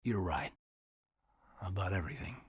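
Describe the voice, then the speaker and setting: A man playing an earnest, dutiful military officer in a video game, speaking in a husky, measured, sincere way. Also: sits low, expressive, no room sound, clean studio sound, exaggerated voice. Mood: tender, intimate. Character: earnest, dutiful male military officer, reserved and quietly romantic. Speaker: soldier. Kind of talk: video game character dialogue.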